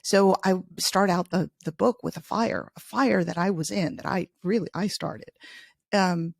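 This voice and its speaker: A woman's voice: on the low side, a little slow, vocal fry, dry recording. A middle-aged woman from Chicago, a native Spanish speaker with no accent, chatting in a podcast interview with many restarts. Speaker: podcast host and author, interviewed as guest.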